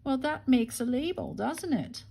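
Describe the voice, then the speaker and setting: A woman's voice: sits high, expressive, measured pace, vocal fry, warm tone, husky. A woman hosting a casual craft-along, unhurriedly thinking aloud and murmuring to herself as she works. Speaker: craft tutorial host.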